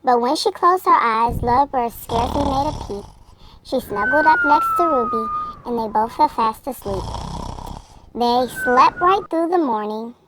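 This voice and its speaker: A woman reading a children's storybook aloud, with measured, expressive phrasing for young listeners. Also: high-pitched, very noisy. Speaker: children's book reader. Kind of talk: storybook read-aloud narration.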